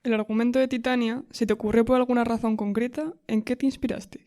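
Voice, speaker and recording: A woman's voice: on the high side, level tone, fast, dark tone. A woman interviewer putting prepared questions to a guest.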